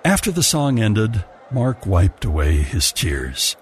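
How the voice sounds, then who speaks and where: A man's voice: lowish voice, wildly swooping pitch, takes it slowly, a little hiss or hum. A man reading a scripted story as its narrator.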